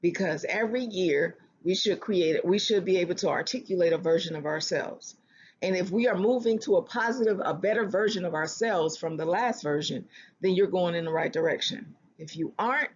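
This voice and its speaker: A speaker giving earnest relationship advice in a self-help talk, often restarting phrases and slowing down to stress a point. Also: mid-range voice, slightly rough, highs cut off. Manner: earnest, repeats and restarts phrases, slows for emphasis.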